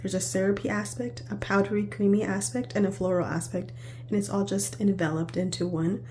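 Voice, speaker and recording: A hoarse woman with a raspy, worn voice that sits dark and mellow, talking casually through a perfume review. She rambles and corrects herself as she goes, with a faint hum behind her. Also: mid-range voice, fairly even intonation, brisk. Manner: chatty, rambling with self-corrections.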